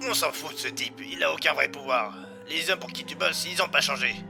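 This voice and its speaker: Secretive underworld informant played by a man, hesitant and confiding, creaky voice, music bed. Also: a little high, fairly quick. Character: nervous, secretive male informant inside a criminal organisation. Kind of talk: audio drama acting.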